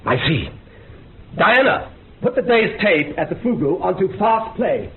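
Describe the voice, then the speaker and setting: Brief: probing scientist, lightish animated voice, authoritative radio-play delivery, thin hissy audio. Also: male voice, high-pitched, a little slow, heavily acted. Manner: authoritative, questioning. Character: male researcher, brisk, probing investigator reviewing tapes. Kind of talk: radio drama acting. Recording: tinny band-limited noisy radio recording.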